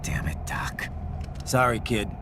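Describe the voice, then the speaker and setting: A man with an American accent playing a stoic, world-weary, gruff cyborg warrior in a video game cutscene. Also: takes it slowly, noisy background, lightly acted. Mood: grim, resolute.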